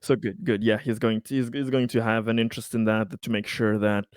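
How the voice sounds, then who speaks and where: A man talking casually as a player in a tabletop roleplaying game, feeling out his words haltingly. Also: fairly low pitch, fairly even intonation, clean tone, no room sound, studio quality.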